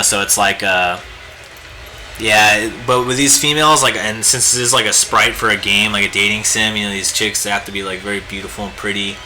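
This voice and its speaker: Raspy laid-back man streaming his drawing. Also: fairly low pitch, sharp tone, slight background noise, poor audio. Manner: laid-back, rambling, many fillers. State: hoarse from a long stream. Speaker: live-streaming artist. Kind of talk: casual live-stream chat while drawing. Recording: music playing behind the voice. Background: guitar music.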